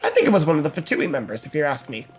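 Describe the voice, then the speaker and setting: A man's voice: a little high, huge pitch swings, racing, soft background music. A man co-hosting a live game stream, chatting casually and working out his thoughts aloud with many fillers. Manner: musing, theorizing, hedging with fillers. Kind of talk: casual live gameplay chat.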